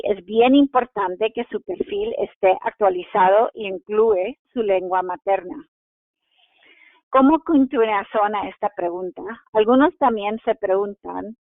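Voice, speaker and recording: A woman presents a webinar, reading each audience question and then explaining the answer at an even, measured pace. She now and then restarts a phrase. Her voice comes through a narrow, tinny phone-like channel that is completely dry. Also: mid-range voice, no room sound. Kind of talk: translated webinar Q&A, read from script.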